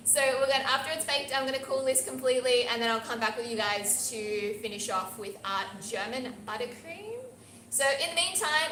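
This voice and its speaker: An Australian woman with a bright, fairly high voice walks viewers through a recipe in a chatty, encouraging way. She is heard in an echoey teaching kitchen with a faint hum behind her. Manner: chatty, encouraging, talks while working with her hands. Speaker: pastry instructor. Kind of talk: live cooking demonstration. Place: teaching kitchen at a pastry academy.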